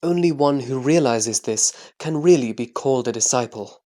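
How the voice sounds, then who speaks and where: A man playing a humble, earnest Buddhist disciple, answering formally and reverently with deliberate phrasing in a scripture audio drama. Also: medium pitch, mellow, lightly acted.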